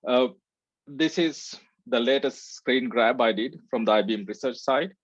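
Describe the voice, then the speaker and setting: A man's voice: medium pitch, moderate pace, no echo. A research scientist from Sri Lanka gives a conference talk and fills it with "uh". His voice comes through dull and dry, like audio from an online call. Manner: frequent "uh" fillers, relaxed. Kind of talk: conference presentation.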